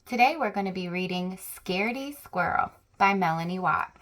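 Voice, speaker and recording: A woman hosting a children's channel, reading a picture book aloud with slow, expressive storytelling. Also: fairly low pitch.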